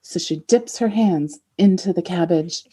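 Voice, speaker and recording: A woman's voice: lowish voice, a little slow, mellow, slightly rough. Woman podcaster with playful, mock-serious wit. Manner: playful mock-serious narrating with wry asides. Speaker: podcast co-host; midwife. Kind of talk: casual comedic chat.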